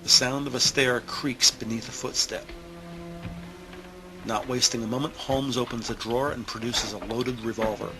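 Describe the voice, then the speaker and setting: A man reading scripted audio drama narration in a deliberate, descriptive storytelling manner. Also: mid-range voice, steady tone, low-quality recording. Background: prominent music.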